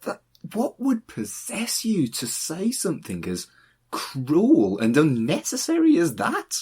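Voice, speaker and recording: A man hosting a podcast interview, asking questions in a relaxed conversational way and swearing bluntly now and then. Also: a little high, slow pace, slightly rough. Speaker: podcast host, humanist celebrant. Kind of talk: casual interview.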